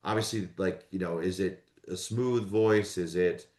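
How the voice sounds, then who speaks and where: A man's voice: sits low, unhurried, reverberant. A man who narrates audiobooks, talking casually in an interview and rambling with lots of fillers. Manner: relaxed, rambling with many fillers.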